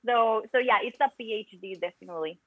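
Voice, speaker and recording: A woman's voice: on the high side, fast talker, slightly rough, no room sound, narrow, tinny audio. A chatty, emphatic young woman with a Costa Rican Spanish accent, a native Spanish speaker, chatting as an interview guest. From Costa Rica. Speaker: podcast guest, music education PhD student. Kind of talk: casual interview chat.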